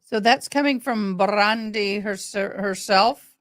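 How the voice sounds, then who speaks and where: A woman hosting a casual livestream, talking in a halting, rambling way. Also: medium pitch, fairly bright tone, dry recording, studio quality. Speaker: livestream host.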